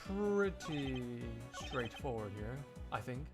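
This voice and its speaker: A man's voice: mid-range voice, very animated, takes it slowly, music in the background. A man streaming a live fan dub, chatting casually in an offhand, self-deprecating, sweary way.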